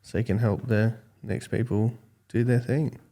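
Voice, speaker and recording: An Australian man in his forties with a calm, smooth voice, chatting casually as an interview guest and thinking aloud in a halting way. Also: medium pitch. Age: 40s. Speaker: podcast guest, skateboarding judge.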